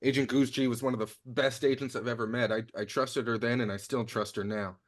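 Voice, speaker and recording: A man's voice: medium pitch, fairly even intonation, fast, close to an everyday voice. A man playing an earnest, work-minded secret agent. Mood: earnest, wary. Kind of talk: virtual table read of a comedy screenplay.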